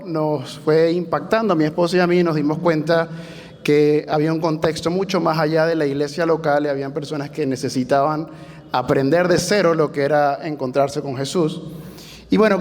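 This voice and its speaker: A man's voice: on the high side, a little mellow. A Venezuelan man in his thirties with a Venezuelan accent, a church planter telling his story conversationally on a panel. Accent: Venezuelan Spanish. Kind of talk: panel testimony. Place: large hall, panel on stage. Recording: reverberant hall.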